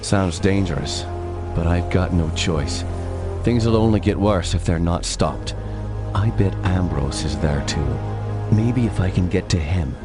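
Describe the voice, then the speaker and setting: An actor playing an earnest, determined adventurer in a video game, plain-spoken and resolute. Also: male voice, low voice, unhurried. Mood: earnest resolve. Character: earnest, determined male adventurer, loyal outsider hero. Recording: game audio under loud music.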